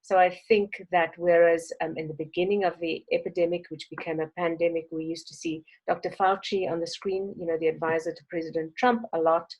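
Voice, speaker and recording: A South African woman giving a measured, thoughtful talk on a video call, with frequent ums. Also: lowish voice, fairly even intonation, a little mellow, low-quality recording. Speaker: webinar speaker.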